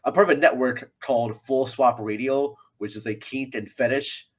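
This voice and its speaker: A man's voice: mid-range voice, steady tone, moderate pace, slightly rough. A man guesting on a film podcast, chatting casually in a rambling, joking manner. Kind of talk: casual chat about a film.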